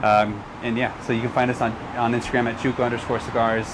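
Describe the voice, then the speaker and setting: A man's voice: medium pitch, little pitch movement, fast, noisy background. Earnest man with an El Paso Texan accent. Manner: earnest, repeats words when starting sentences. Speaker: cigar lounge owner, guest. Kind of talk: casual interview talk.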